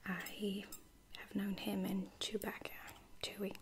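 A woman acts out a gentle female lieutenant from a space-adventure ASMR roleplay. She whispers breathily with hesitant pauses, staying reassuring and caring in a fairly even pitch. Clear noise runs under the recording. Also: close to an everyday voice. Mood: soft, caring. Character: caring, apologetic female space-soldier lieutenant tending a wounded stranger.

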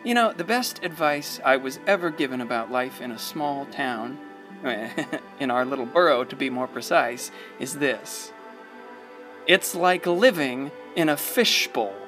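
A man's voice: fairly high pitch, bright, forceful tone. A male actor playing a wry, rambling small-town narrator in a radio play, folksy, theatrical and hesitant. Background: prominent music.

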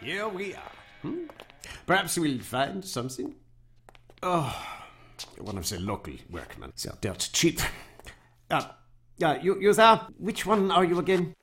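An actor in a radio drama playing a haughty, fawning museum official who hems and haws in an oily manner. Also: male voice, on the high side, huge pitch swings, measured pace, pauses often, a bit bright, a little hiss or hum, exaggerated voice. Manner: oily, hemming and hawing. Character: fawning, haughty male museum official, quick to flatter wealth.

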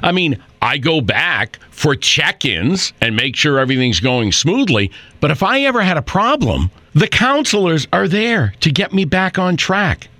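A man gives a customer testimonial for an advertisement, speaking conversationally and persuasively. His voice is slightly high and moves up and down with feeling. The recording is dry and close. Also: big pitch swings, measured pace, hardly any pauses, sharp tone, no echo. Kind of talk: radio ad testimonial.

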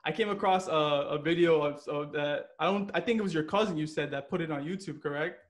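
A man's voice: fairly high pitch, fast. An American man interviewing a guest, chatty, laughing often and leaning on "like" fillers. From United States. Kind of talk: casual interview.

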